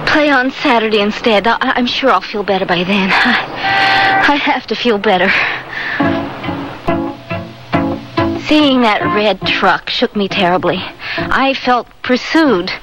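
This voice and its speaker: An actress playing an anxious wife haunted by fears of death, speaking haltingly in a radio drama. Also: female voice, mid-range voice, animated, close to an everyday voice, poor audio. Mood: fearful, weary. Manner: fearful, halting. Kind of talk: radio drama acting with first-person narration. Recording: old radio recording with loud music.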